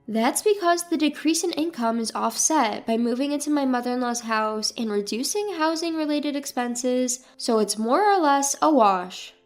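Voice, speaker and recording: Woman reading a dramatic story, pretty high pitched, bit of music behind her. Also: animated, normal speed, hardly any pauses. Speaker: story narrator. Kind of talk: scripted first-person dramatic narration.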